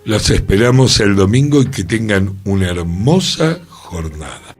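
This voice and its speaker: Lively low-voiced Argentine man radio host. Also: unhurried, over loud music. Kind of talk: relaxed radio conversation.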